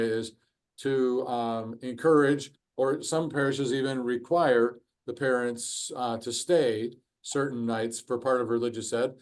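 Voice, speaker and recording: A man's voice: medium pitch, darkish tone. A man moderating an online course discussion, hesitant, restating what others say with many fillers. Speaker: course facilitator.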